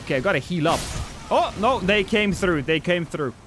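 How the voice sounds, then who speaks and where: A man's voice: high voice, animated, moderate pace, music in the background. A Norwegian man with a slightly British-tinged accent, chatting casually while streaming a game and reading chat, often restarting sentences. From Norway. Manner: chatty, self-deprecating, many restarts. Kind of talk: casual gameplay livestream chat.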